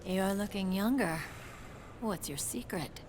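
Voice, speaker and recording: A woman's voice: medium pitch, unhurried, lightly acted. An actress playing a confident female military officer in a video game, speaking with assured authority. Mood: assured, wry. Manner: assured, commanding. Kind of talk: video game cutscene acting. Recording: clear background noise and ambience.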